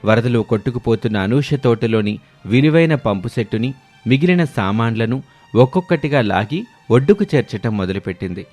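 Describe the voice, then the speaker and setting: A man's voice: mid-range voice, animated, normal speed, a little mellow, faint music behind. A man narrating a scripted moral story in the manner of a storyteller.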